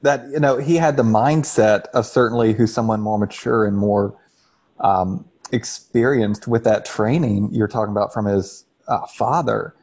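A man's voice: on the low side, normal speed, darkish tone. A man on a discussion panel, talking casually and piecing his thoughts together with some hesitation. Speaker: podcast panelist. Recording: slightly dull lo-fi microphone.

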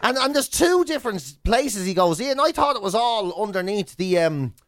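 A man's voice: sits high, sing-song, normal speed, fairly bright tone, no room sound, studio quality. An Irish radio host, a man, telling casual comic anecdotes in a rambling, colloquial, self-deprecating way. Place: radio studio. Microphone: close studio microphone.

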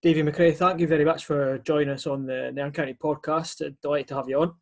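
A man's voice: on the high side, steady tone, fast talker, soft background music. A man hosting a podcast, asking friendly, long winding questions. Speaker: podcast host. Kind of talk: interview questions.

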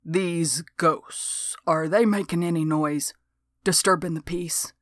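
A woman voicing a gruff, work-shy county lawman with a folksy, exasperated drawl. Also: on the high side, fairly even intonation, takes it slowly, no room sound, clean studio sound. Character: gruff, lazy small-town county lawman.

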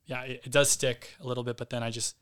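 A man's voice: mid-range voice, little pitch movement, quick pace, fairly bright tone, smooth. A man, an actor and podcast guest, talking casually with many fillers and restarts.

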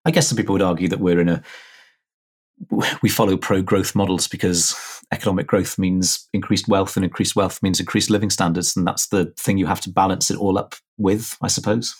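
A man interviewing a guest, putting his points in a measured, hedging way. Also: low-pitched, fairly even intonation, husky. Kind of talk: conversational interview.

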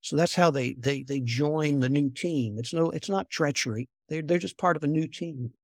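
An older man, a former intelligence officer, telling stories in a soft, unhurried voice as an interview guest. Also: on the high side, vocal fry, dry recording, clean studio sound. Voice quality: soft-spoken. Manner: unhurried, repeats words when restarting. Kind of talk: conversational storytelling.

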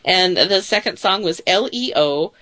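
A woman's voice: on the low side, expressive, measured pace, sharp tone, no room sound. A woman in her late forties hosting a casual radio talk show, chatty and self-deprecating, laughing easily. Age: 40s.

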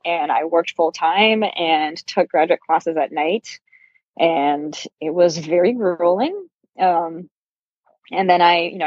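A woman's voice: on the low side, darkish tone, no echo, highs cut off. A middle-aged woman from Brazil being interviewed, telling personal stories in a candid, self-deprecating, conversational way. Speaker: interview guest, yarn shop owner.

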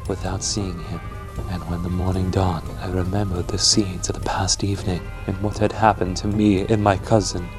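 A man playing a remorseful king's son who recounts his past in formal, measured, theatrical audio drama narration. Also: low voice, little pitch movement, natural voice. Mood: troubled, regretful. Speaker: storyteller. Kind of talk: scripted audio drama narration of an archaic tale. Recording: music behind the voice. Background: music.

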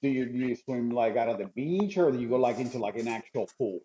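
A man's voice: mid-range voice, animated, fast talker, mellow, slightly rough. A man co-hosting a podcast, chatting in a loose joking way with lots of "like" and restarts. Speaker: podcast co-host. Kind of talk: casual podcast chat. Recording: dull lo-fi mic sound.

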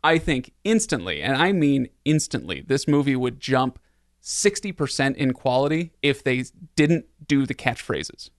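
Male podcast host, mid-pitched, opinionated and emphatic, casual film talk, close dry sound. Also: sing-song, a little slow, dry recording.

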